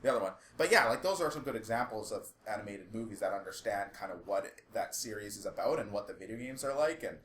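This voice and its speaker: A man's voice: on the low side, expressive, fast, a bit bright. A man co-hosting a podcast in casual chat, with an enthusiastic, rambling way of talking. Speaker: podcast co-host. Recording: echoey room, faint background noise.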